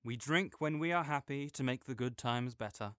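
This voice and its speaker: A man acts out a caring, easygoing young male friend in an audio roleplay, talking straight to the listener. His voice is smooth and clear, at an ordinary male pitch, and he sounds gentle and reassuring with a light joking touch. The sound is dry and close to the mic. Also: moderate pace, no echo, natural voice.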